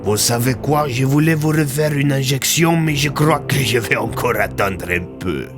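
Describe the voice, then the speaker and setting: A man's voice: mid-range voice, normal speed, music in the background, pitched above the performer's own voice, natural voice. A man voicing a smug, mocking Russian crime boss in an audio drama, falsely polite and taunting.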